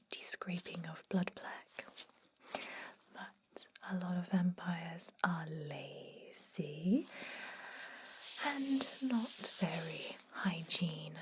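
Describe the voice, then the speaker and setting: A woman playing a caring, centuries-old vampire dentist in a breathy, soothing whisper for an ASMR roleplay. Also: fairly low pitch, a little hiss or hum. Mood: chatty, informative. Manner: soothing, gently chatty, unhurried.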